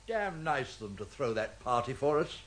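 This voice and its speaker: An English actor playing an anxious, prim, disapproving older clerk in a radio drama, talking in a fretful way. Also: male voice, mid-range voice, sing-song, moderate pace. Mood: uneasy. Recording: noisy radio drama recording with background ambience.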